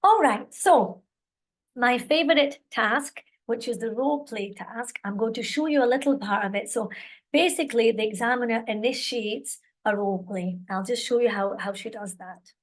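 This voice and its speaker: A middle-aged woman with a Scottish accent leads a live online session for teachers. Her voice sits a little high, and her tone is chatty and encouraging. She keeps checking in with her listeners, heard through a computer mic on a video call. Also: moderate pace. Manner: chatty, encouraging, lots of "all right" and "okay" check-ins. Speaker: webinar presenter and teacher trainer.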